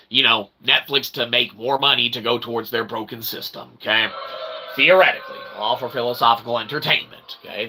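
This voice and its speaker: A man's voice: mid-range voice, creaky, pushed and bright, husky. A man delivering an unscripted, profane opinion rant in an agitated, wordy, emphatic manner. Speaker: opinion vlogger.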